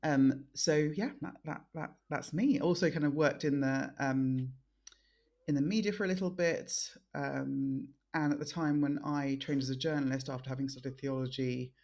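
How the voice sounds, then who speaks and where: A middle-aged woman born in Nigeria and raised in London, giving thoughtful interview answers as a guest, with frequent pauses and restarts. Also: extremely low pitch, fairly even intonation, mellow. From born in Nigeria, raised in South East London. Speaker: guest, think tank director.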